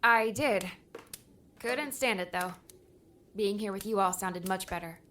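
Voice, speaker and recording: A woman's voice: medium pitch, measured pace, sharp tone. A woman playing an earnest, steadfast heroine in a fantasy audiobook, speaking plainly. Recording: clearly noisy background.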